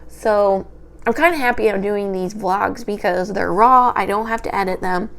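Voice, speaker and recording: A woman's voice: mid-range voice, normal speed, vocal fry, fairly bright tone. Young woman rambling into a little mic, tiny light voice, trips over her words, raw unedited vlog. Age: young adult. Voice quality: small, light voice. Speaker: vlogger, history student. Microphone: small handheld microphone.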